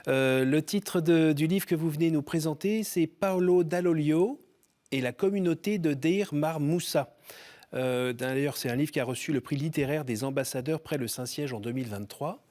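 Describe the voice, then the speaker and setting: A man hosting a spiritual talk show in a television studio, introducing his guest and asking questions with frequent pauses. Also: a little high, a little mellow. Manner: halting, many filler pauses.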